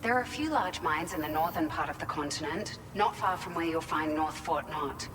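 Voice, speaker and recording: A caring, chatty facility worker played by an actress in a video game. Her voice is a little low for a woman. It carries long reverb with clear noise behind it. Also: moderate pace, lightly acted. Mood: concerned, chatty. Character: warm-hearted, concerned facility worker receiving cargo. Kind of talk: video game dialogue, conversational.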